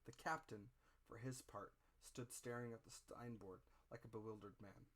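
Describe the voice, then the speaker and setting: Male narrator, medium pitch. Dramatic audiobook read, theatrical, phrase-by-phrase delivery. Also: fairly even intonation, normal speed, fairly bright tone, a little hiss or hum.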